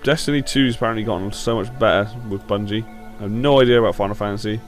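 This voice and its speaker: A man hosting a gaming show with casual, blunt opinion commentary, slightly croaky from a sore throat. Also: fairly low pitch, quick pace, faint music behind.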